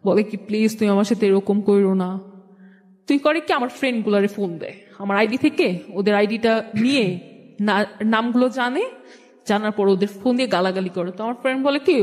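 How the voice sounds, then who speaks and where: A woman's voice: fairly high pitch, fairly quick, darkish tone. A young woman with a Bangladeshi accent telling a personal story in colloquial speech, acting out conversations as she goes. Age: young adult. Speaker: guest telling own life story. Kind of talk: personal storytelling with re-enacted dialogue. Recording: long echoing hall reverb.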